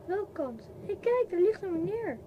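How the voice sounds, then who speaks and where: A woman's voice: very high-pitched, big pitch swings, moderate pace, phone-quality sound. A woman playing a curious, wide-eyed young child in a Dutch radio play. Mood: eager. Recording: clear vehicle-like background noise.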